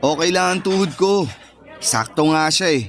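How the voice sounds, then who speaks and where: High-voiced, cocky young Filipino suitor played by an actor. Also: male voice, normal speed, lightly acted. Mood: coaxing, earnest. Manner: cocky, coaxing. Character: eager, boastful thirty-year-old heir, sweet-talking suitor. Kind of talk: Filipino radio romance drama acting. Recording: background music under the voice.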